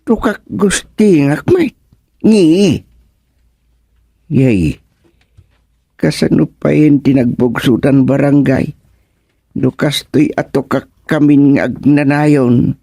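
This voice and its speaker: A man acting a grumbling villager turned frog in a comedic radio drama, halting and punctuated with croaks. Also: fairly high pitch, mellow, no echo, studio quality, heavily acted. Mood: bewildered, agitated.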